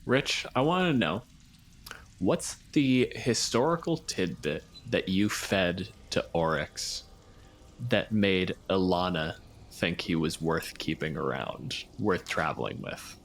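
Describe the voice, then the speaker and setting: A man's voice: low-pitched, expressive, slow pace, creaky, fairly bright tone. A man discussing a tabletop game casually, in an articulate, analytical way. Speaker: tabletop game participant and academic. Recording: music playing behind the voice.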